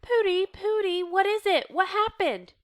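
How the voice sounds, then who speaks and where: A woman's voice: very high-pitched, animated, measured pace, voice pushed up. A woman playing an emotional middle-aged wife in a stage drama, fussing and exclaiming insistently. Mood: alarmed.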